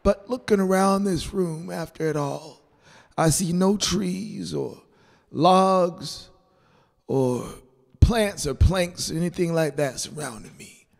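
Reverberant hall, elderly grandpa acted by a male narrator, slow halting delivery, sentimental, tender storybook speech. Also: high voice, pitched above the performer's own voice, heavily acted. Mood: grateful, tender. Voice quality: creaky. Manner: halting, tender, soft-spoken.

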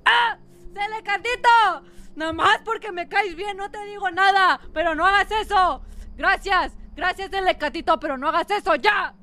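A woman's voice: very high voice, moderate pace, sharp tone. A woman with a Mexican accent commentating a horror game as a streamer, dramatic and teasing, sometimes breaking into song. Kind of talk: gaming livestream commentary.

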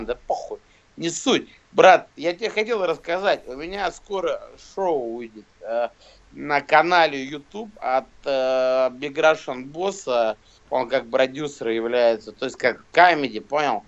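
A man's voice: mid-range voice, normal speed. A man in his thirties chatting casually on a video call from a small room, rambling and swearing with lots of fillers. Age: 30s. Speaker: rapper and guest. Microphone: phone camera close to face. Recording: dull lo-fi video call audio.

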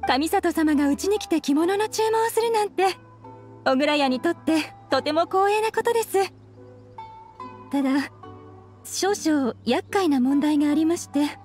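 Polite, high-voiced young kimono shopkeeper. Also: female voice, animated, a little slow, darkish tone. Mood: impressed, honored. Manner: polite customer-service speech. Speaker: game voice actor. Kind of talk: scripted game dialogue, Japanese dub. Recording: studio voice over game music.

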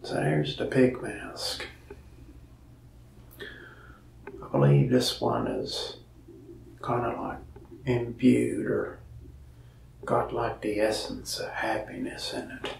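Gravelly, whispery young man, slow. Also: fairly low pitch, very animated, reverberant, distant mic, slight background noise, rough recording. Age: young adult. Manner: whispery, folksy and rambling. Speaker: mask shop salesman in roleplay. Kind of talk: soft-spoken ASMR roleplay. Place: indoor room before a wall of masks.

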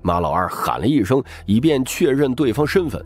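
Man narrating with lively pitch. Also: normal speed. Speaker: audiobook narrator. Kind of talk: dramatic scripted narration of a crime novel. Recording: music bed behind the voice.

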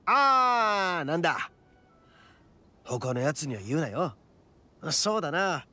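Brash oni gang boss. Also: male voice, a little high, big pitch swings, slow pace, pushed and bright, slight background noise, heavily acted. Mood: reluctant, earnest. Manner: boastful, blunt street-tough slang. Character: brash, big-hearted young male oni gang boss. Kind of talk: Japanese anime game voice acting.

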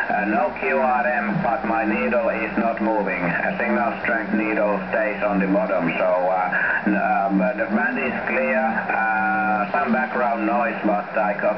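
Man, Finnish accent, lowish voice, halting amateur radio talk, muffled shortwave transmission. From Finland. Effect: voice received over shortwave radio. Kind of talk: amateur radio contact chat. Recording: noisy, echoey, muffled shortwave radio reception. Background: loud radio static.

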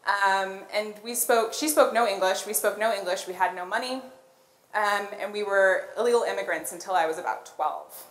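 A woman's voice: mid-range voice, normal speed, a bit bright. An American woman giving a rehearsed but conversational conference talk, telling stories and putting questions to the audience. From born in Colombia, grew up in Los Angeles. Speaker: conference keynote speaker, product designer. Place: on stage in a large auditorium. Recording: roomy echo of an auditorium.

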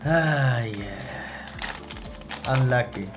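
A young man giving casual running commentary as he opens trading card packs, chatty, excited on big pulls and low on misses, recorded at home on a desk mic. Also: extremely slow, music in the background. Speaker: card-opening youtuber. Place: home room, indoors.

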